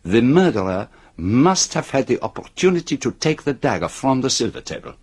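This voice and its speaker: Shrewd, deliberate detective. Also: male voice, mid-range voice, sing-song, husky. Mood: methodical, probing. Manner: deliberate, theatrical, building points step by step. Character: shrewd, methodical male detective unmasking a killer. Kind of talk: radio drama acting.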